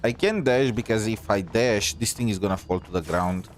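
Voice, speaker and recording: A man's voice: on the low side, normal speed, slight background noise. A man giving casual, hesitant gameplay commentary as he thinks aloud. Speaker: gaming streamer. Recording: game music behind the voice. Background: game music.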